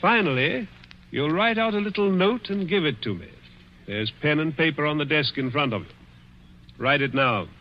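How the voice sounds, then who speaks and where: A gloating older gentleman played by a male actor in a vintage radio thriller, pitched slightly high and very animated. He draws his words out with mocking, chuckling menace. Faint hiss sits over the old recording. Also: normal speed, natural voice. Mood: coolly commanding. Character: wealthy, gloating, sadistic older man taunting his guest. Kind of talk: 1940s radio suspense acting. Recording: muffled, hissy 1940s radio recording.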